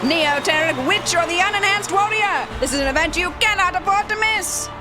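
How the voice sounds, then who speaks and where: A woman voicing an excitable, bombastic arena announcer in video game dialogue, with dramatic, exclamatory showmanship. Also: extremely high pitch, a little fast, over music, crowd noise, pitched above the performer's own voice. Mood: hyped up.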